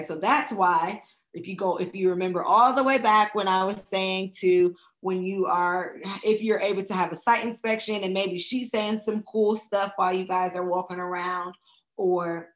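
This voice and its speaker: A woman with a slightly raspy voice, casually talking through a tutorial step by step and often checking in with "okay?". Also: mid-range voice, fairly bright tone. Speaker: travel agent teaching video making. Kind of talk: screen-recorded tutorial walkthrough.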